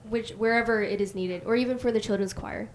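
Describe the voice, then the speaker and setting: Soft-spoken young woman host. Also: mid-range voice, fairly even intonation, brisk, slight background noise. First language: Mandarin. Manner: soft-spoken, asks guests questions. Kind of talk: casual podcast chat.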